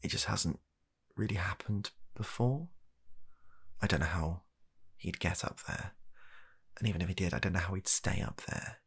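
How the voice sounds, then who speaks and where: A British man playing a weary, reluctant, baffled doctor in a comedy ASMR roleplay, talking softly in a halting, deadpan, exasperated way. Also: deep voice, creaky, breathy edge, full character voice. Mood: hesitant, sceptical. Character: weary, reluctant, baffled male doctor humouring an absurd patient.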